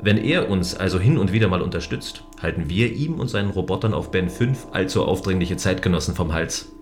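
A man playing a gentle, dutiful guardian of a space station in a science fiction audio drama, speaking in a considerate, earnest, explaining way. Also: deep voice, animated, a little fast, faint music behind. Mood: wryly content.

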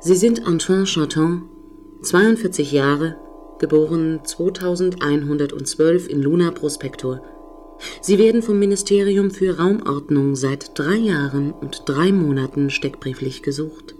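A woman playing a cool, formal, protective space-station computer in a science-fiction audio drama, speaking in measured, officious phrases. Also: sits low, darkish tone, soft background music.

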